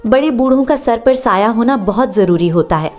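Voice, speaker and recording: A woman's voice: a little high, expressive, normal speed, darkish tone, natural voice. A woman voicing a caring, practical village wife and mother in a cartoon moral story. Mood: concerned.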